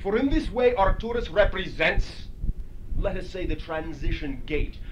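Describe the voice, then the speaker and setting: A man voicing a channeled alien entity, a calm authority giving deliberate, formal, oddly phrased answers in a question session. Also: high voice. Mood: measured, authoritative. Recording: hissy 1980s cassette tape with clicks.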